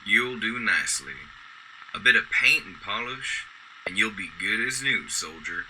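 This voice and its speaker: An actor playing a gentle, earnest older sanitation worker who restores old toys, in a radio drama. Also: male voice, on the low side, expressive, measured pace, slight background noise, heavily acted, rough recording. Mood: tender, pleased.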